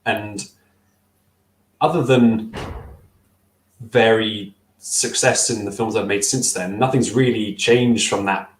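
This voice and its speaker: A man's voice: fairly low pitch, fairly even intonation, slow pace, long pauses, roomy sound, poor audio. A young man on a video call with a headset, answering interview questions casually, thoughtful and hesitant with many fillers and restarts. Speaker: stop-motion filmmaker guest. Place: small room at home.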